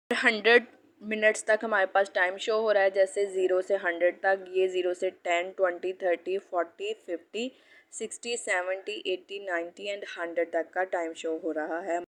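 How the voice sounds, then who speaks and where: Woman physiotherapist explaining a treatment. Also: medium pitch. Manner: steady explanatory teaching, lists terms one by one. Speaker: clinical physiotherapist. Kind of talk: instructional lecture mixing Hindi and English.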